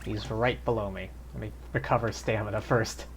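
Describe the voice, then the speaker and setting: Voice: medium pitch, fairly even intonation, fairly quick, a little hiss or hum. A streamer chatting casually and thinking aloud while playing a game live. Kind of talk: casual live gameplay commentary.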